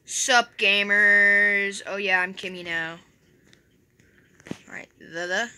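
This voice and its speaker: Bright, jokey young woman gaming. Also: medium pitch, steady tone, slow pace, a little hiss or hum. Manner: jokey, rambling, self-correcting with "just kidding". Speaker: channel host. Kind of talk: casual gaming chat. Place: home bedroom. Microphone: phone.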